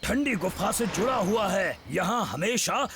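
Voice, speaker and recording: A man's voice: high voice, animated, a little slow, background noise. A man voicing a big, friendly, worried bear in a dubbed cartoon, asking anxious questions. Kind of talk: cartoon dubbing. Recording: music playing behind.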